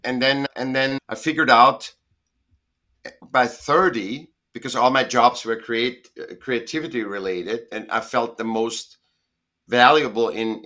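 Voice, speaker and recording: Emphatic man, bright voice. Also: medium pitch. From Austria. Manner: rambling, emphatic, self-correcting. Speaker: guest artist. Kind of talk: casual interview talk. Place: home in Southern California.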